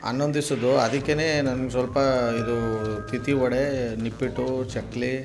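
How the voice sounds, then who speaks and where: A man's voice: mid-range voice, fairly even intonation, moderate pace, slight background noise. A man doing a prank phone call in casual, colloquial talk, insistent and pleading. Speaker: radio host making prank calls.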